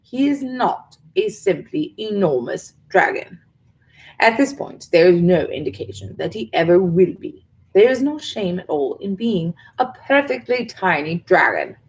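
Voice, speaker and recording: A woman voicing an ancient, weary male swamp monster who talks slowly and dryly. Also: mid-range voice, darkish tone, close to an everyday voice. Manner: slow, dry and unbothered.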